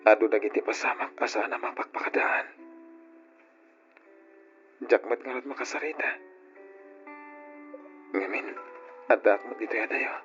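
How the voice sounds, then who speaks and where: A man's voice: medium pitch, measured pace, pauses often, fairly bright tone, natural voice. A man playing a lovesick, worried young nephew working abroad in an old radio drama, with a plaintive Filipino accent. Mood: despondent. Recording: dull old radio recording, music behind.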